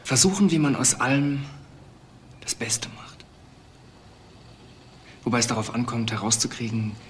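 An actor playing a talkative, philosophical young biology student in a crime drama, rambling and stammering, unfazed by an intruder. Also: male voice, mid-range voice, moderate pace, a little hiss or hum, close to an everyday voice. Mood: casual, musing. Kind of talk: naturalistic German crime drama acting.

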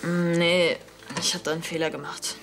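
A man's voice: fairly high pitch, little pitch movement, moderate pace, close to an everyday voice. Young male actor as sullen teenage schoolboy, TV youth drama, lovesick, curt, sarcastic. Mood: earnest. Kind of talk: scripted TV drama dialogue.

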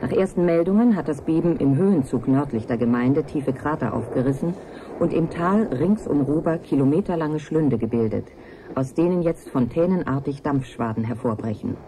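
An actress playing a sober news announcer, reading a bulletin in a formal, precise, factual manner in a radio play. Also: female voice, deep voice, expressive, moderate pace, noisy background. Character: sober female news announcer reporting a disaster.

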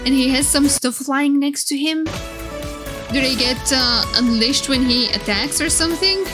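A woman streamer giving excited, casual reaction commentary in a playful, flirty, gushing way. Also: high-pitched, moderate pace. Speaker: streamer reacting to game trailers. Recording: loud music behind. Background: game trailer music and sound.

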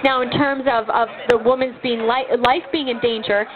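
High-voiced woman arguing at an open mic. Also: steady tone, normal speed, crowd noise. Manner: argumentative, rhetorical questions. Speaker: pro-life speaker at open mic. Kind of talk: outdoor campus debate. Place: outdoors on a university campus. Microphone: handheld microphone through speaker. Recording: tinny lo-fi with loud background noise.

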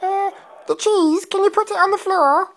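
A squeaky little mouse. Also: male voice, steady tone, a bit bright. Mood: pleading. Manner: slow, pleading, repetitive. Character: tiny squeaky mouse begging for cheese. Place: living room on a leather sofa, indoors.

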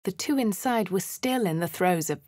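A woman's voice: mid-range voice, expressive, normal speed, darkish tone, no room sound, clean studio sound, close to an everyday voice. Poised posh adventurer woman, as an actress voices her. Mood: calm narration. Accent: upper-class English. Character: posh young adult woman adventurer, composed. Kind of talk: game character narrating her actions.

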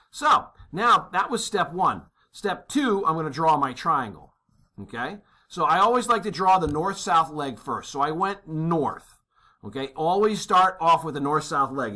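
A man's voice: on the high side, pushed and bright. A man teaching a worked problem at a desk, casual and thinking aloud, often checking in with "okay?". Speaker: maritime exam instructor. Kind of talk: tutorial over a worksheet. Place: indoors at a desk.

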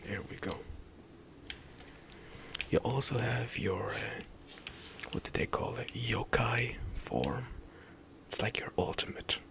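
Whispering young man, gravelly and slow. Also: mid-range voice. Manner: soft whispering, relaxed, trailing off mid-sentence. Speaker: ASMR gaming streamer. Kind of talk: whispered gameplay commentary.

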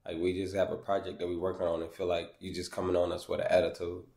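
Young dude doing prank calls, lowish voice, stern fake official questions, room mic. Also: male voice, completely flat delivery, fast talker, creaky, a little mellow, slight background noise. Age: young adult. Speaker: prank caller. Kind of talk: prank phone call, mock-official questioning. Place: small room indoors at a table. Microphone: camera across the table.

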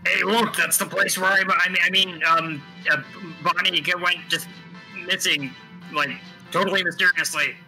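A man's voice: high-pitched, fairly even intonation, normal speed, sharp tone, soft background music, exaggerated voice, rough recording. A man voicing a shifty, snide animatronic alligator in a comedic game fandub, stumbling evasively over words.

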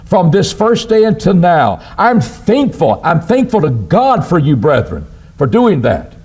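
An older man preaching a sermon from a church pulpit, asking his listeners rhetorical questions and answering them himself. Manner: lively, rises and drops in pitch for emphasis, asks rhetorical questions. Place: church auditorium at a wooden pulpit. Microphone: pulpit microphone on a stand.

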